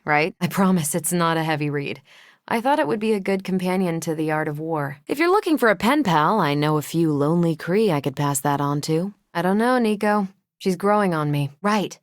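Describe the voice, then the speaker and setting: Low, dry-toned American superhero woman, played by an actress. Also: expressive, normal speed, no room sound, lightly acted. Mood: relaxed, teasing, then battle-ready, forceful. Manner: casual, dry, teasing confidence. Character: confident, wry female superhero and former military pilot. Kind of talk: video game character dialogue.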